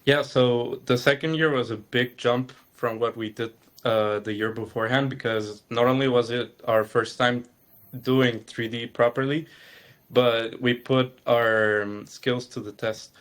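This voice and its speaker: A man explaining his experience as a former student in a webinar, in a relaxed, flowing way. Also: mid-range voice, a little slow. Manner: relaxed, flowing, uses "like" and "you know".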